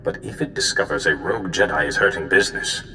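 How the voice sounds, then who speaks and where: Grave, forceful Jedi mentor. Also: male voice, sits low, fast talker. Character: grave, worried male Jedi mentor giving orders. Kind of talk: cinematic video game dialogue. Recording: hall reverb, faint background noise.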